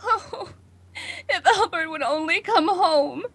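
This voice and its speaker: An actress playing a nervous, imperious housewife on edge, in a melodramatic 1940s radio drama style. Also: female voice, extremely high pitch, takes it slowly, fairly bright tone, exaggerated voice. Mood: anxious, agitated. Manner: haughty, melodramatic, agitated. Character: nervous, imperious, panicking housewife alone at night.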